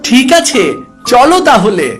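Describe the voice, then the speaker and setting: A man's voice: very high-pitched, very animated, unhurried, fairly bright tone, soft background music, exaggerated voice. A man voicing a greedy, always hungry young village boy in a cartoon. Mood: eager. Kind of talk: Bengali cartoon dialogue.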